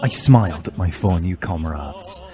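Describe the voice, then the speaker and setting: A man's voice: low-pitched, expressive, a little slow. A man reading a story aloud as a mock-serious, bombastic dramatic narrator. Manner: theatrical, bombastic. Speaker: reader of a fan fiction story. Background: music.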